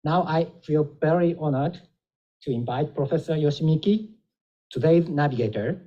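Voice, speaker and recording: A man delivers a formal introduction speech, measured and slightly halting. His voice sits a little high but mellow. The recording is dull and lo-fi. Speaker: embassy minister introducing a lecture.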